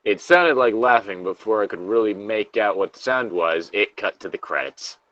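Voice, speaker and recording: A man's voice: lowish voice, lively intonation, moderate pace, a bit bright, dull audio. A man reading horror stories as a co-host, with casual, sardonic, sweary asides. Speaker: co-host reading video game creepypastas.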